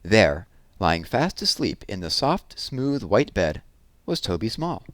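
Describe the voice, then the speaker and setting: Expressive low-voiced man reading a children's story. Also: slow pace, dry recording. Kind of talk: children's read-aloud.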